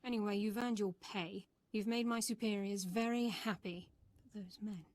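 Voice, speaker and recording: An actress playing a weary, dutiful military officer in a video game, blunt and bitter but restrained. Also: female voice, mid-range voice, fairly even intonation, moderate pace, darkish tone, no room sound, close to an everyday voice. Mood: troubled anger.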